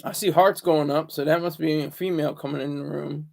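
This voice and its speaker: A man hosts a casual livestream from home, talking in a deliberately hushed, lowered voice. His tone is gravelly with a slightly raised pitch for a man, and he often stumbles and repeats words as he chats with viewers. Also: steady tone. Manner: deliberately low, hushed delivery. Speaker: livestream host. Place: home, room indoors.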